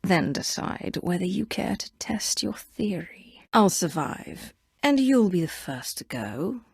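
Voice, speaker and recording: A woman playing an imperious, menacing Sith inquisitor in a video game, commanding and haughty, with deliberate dramatic delivery. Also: fairly low pitch, very animated, gravelly, darkish tone, husky, no room sound, clean studio sound, full character voice. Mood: threatening. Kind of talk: video game dialogue acting.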